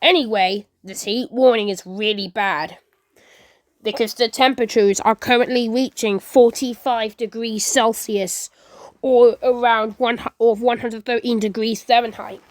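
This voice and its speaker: High-voiced woman improvising an amateur emergency broadcast. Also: fairly even intonation, unhurried, no room sound. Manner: rambling, stumbling, self-correcting and repeating phrases. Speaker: creator and performer of a fan emergency-alert scenario.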